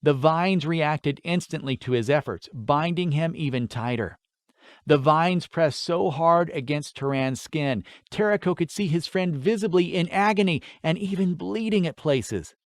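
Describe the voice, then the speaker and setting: A man's voice: a little high, a little slow, no echo. Male fantasy audiobook narrator, dry close sound. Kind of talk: scripted fantasy story narration.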